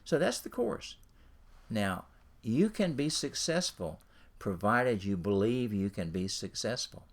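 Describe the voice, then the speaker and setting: A man's voice: lowish voice, very animated, unhurried. A man giving an informal instructional talk as a coach, speaking directly to the listener and repeating his phrases. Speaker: ministry and business coach.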